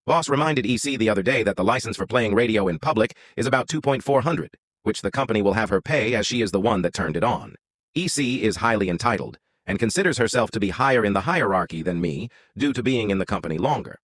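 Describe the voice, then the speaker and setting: A man's voice: on the low side, lively intonation, quick pace, a bit bright, no echo. A man reading out an online story as a scripted narration.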